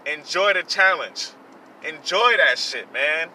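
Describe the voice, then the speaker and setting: A man's voice: fairly high pitch, lively intonation, slow pace, pushed and bright, recorded from a distance, slight background noise, poor audio. A man in his late twenties hosting a podcast, talking in an earnest, repetitive, self-reflective way with many fillers. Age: 20s. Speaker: podcast host. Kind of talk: personal motivational monologue.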